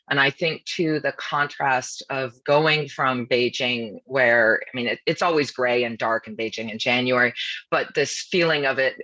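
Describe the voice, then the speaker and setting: A woman who is a law professor, speaking with a husky voice over a video call in a media briefing and explaining things in a brisk, informal way. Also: extremely low pitch, sharp tone, no echo, rough recording. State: husky voice from nonstop talking.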